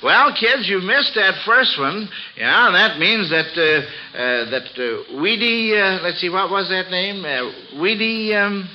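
A man's voice: high voice, lively intonation, slow, hardly any pauses, slight background noise. A man hosting an old-time radio quiz for children, with a folksy, avuncular, exclaiming way of talking. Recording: dull vintage radio broadcast.

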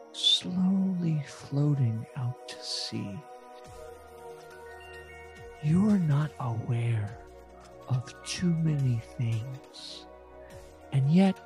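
A man's voice: mid-range voice, gravelly, darkish tone, exaggerated voice. A man playing an eccentric, domineering, unqualified therapist in improvised comedy, coaxing and rambling with mock authority. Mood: slow, hypnotic. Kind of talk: improvised comedic character acting.

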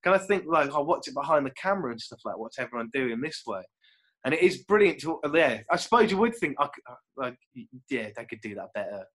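A man's voice: mid-range voice, big pitch swings, fast talker, fairly bright tone. A young British man, an actor, chatting casually and rambling as he acts out little quotes with laughs. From United Kingdom. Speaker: actor guest. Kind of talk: casual chat.

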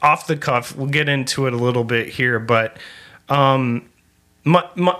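A man in his forties hosting a casual movie podcast, stammering and animated, joking as he talks. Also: medium pitch, a little slow. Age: 40s. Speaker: podcast host.

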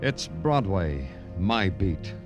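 An actor playing a weary, wry New York police detective in old radio noir, with deadpan, brooding delivery. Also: male voice, sits low, very slow, dull audio, loud music behind the voice, heavily acted. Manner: terse deadpan dialogue, brooding poetic narration. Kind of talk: 1950s radio noir acting and narration.

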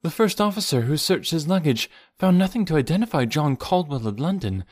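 Audiobook role: concerned young female friend, done by a man, raised pitch, earnest, gently explanatory. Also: lively intonation, a little fast, no echo, clean studio sound. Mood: sad, explaining. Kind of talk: audiobook character voice.

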